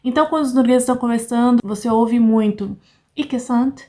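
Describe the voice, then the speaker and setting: A woman's voice: a little high, brisk, dark tone. Chatty Brazilian woman vlogger. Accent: Brazilian Portuguese. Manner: chatty, laughs often, keeps addressing viewers. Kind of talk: casual chat to camera.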